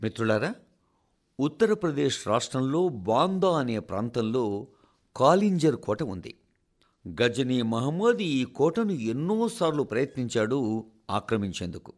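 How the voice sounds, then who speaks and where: A man reading a scripted, formal address for radio in a solemn, oratorical manner, with measured phrases and dramatic pauses. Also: mid-range voice, very animated. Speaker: radio reader of a translated national address.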